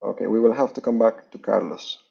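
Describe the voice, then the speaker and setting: A man's voice: fairly low pitch, fairly quick, gravelly, warm tone, breathy edge. A man hosting an online training over a video call, formally making announcements and introducing the team. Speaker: training host. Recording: dull lo-fi video call audio.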